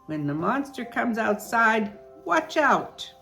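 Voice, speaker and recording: A woman's voice: a little high, sing-song, takes it slowly, vocal fry, sharp tone, voice pushed up, full character voice. A woman voicing a bold male adventurer in a storybook, giving emphatic instructions. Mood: scheming, urgent. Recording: music behind the voice.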